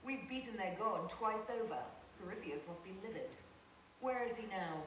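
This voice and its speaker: A woman playing a commanding elven heroine in a video game, firm, measured and authoritative. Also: medium pitch, animated, fairly bright tone, lightly acted. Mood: determined. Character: commanding elven heroine leading a holy army. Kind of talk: video game cutscene dialogue. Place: game audio re-recorded in a room by camera. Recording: very echoey, distant off-screen capture, hissy.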